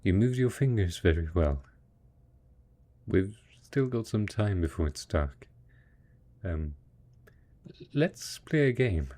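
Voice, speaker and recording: A man playing a devoted, patient husband in an intimate post-apocalyptic audio roleplay, coaxing slowly and hesitantly in a soft, husky voice. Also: low-pitched, animated, exaggerated voice. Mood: gentle, coaxing. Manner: slow, coaxing, hesitant, talking as if to a child. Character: devoted, patient husband tending zombie spouse after apocalypse.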